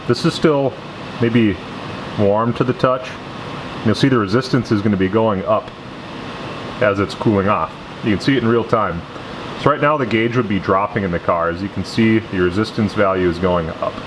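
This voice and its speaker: Guy with a lowish voice talking casually thru car fixes in a garage, noisy hum behind him. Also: moderate pace. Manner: informal, conversational. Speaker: car repair vlogger. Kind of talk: casual hands-on explanation. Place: indoor garage workshop.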